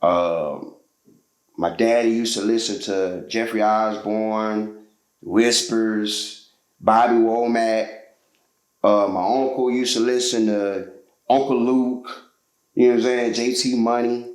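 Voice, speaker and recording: A man's voice: on the low side, fairly even intonation, takes it slowly, echoey room, poor audio. A man from Georgia, a musician telling his story as a guest in a casual interview, loose and slangy with lots of fillers.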